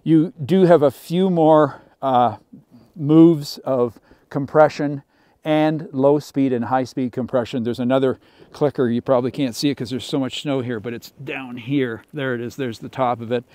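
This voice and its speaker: A man's voice: mid-range voice, steady tone, normal speed, dark tone, dry recording, clean studio sound. A middle-aged man casually walking through a snowmobile's features outdoors in snowy woods, with frequent fillers. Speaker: snowmobile reviewer. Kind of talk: product walkaround presentation.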